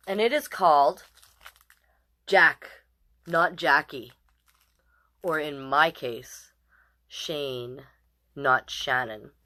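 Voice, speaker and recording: A woman's voice: low-pitched, very slow, pauses often, bright, forceful tone. A woman hosting a children's storytime, chatting directly with viewers and reading picture books aloud in a casual way. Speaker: storytime host and reader.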